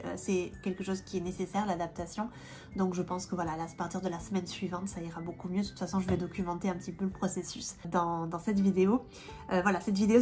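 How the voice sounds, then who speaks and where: A woman in her thirties talks casually to camera, her voice a bit stuffy from a cold. She chats on loosely, full of fillers and restarts, at an ordinary middle pitch. Soft music sits faintly behind her. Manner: chatty, many fillers and restarts. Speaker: vlogger and independent language teacher. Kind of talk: casual vlog chat.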